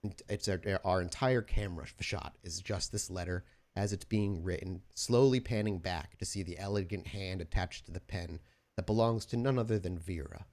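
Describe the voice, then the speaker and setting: Male game storyteller and podcast host, moderately low voice, tabletop horror. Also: moderate pace, vocal fry, a little mellow.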